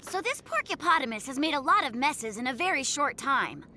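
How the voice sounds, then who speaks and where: A woman voicing an earnest, sensible little boy polar bear cub in a children's cartoon, speaking in a measured, thoughtful way. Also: sits high, big pitch swings, pushed and bright, a little hiss or hum, heavily acted. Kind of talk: children's cartoon dub acting. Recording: faint music behind the voice.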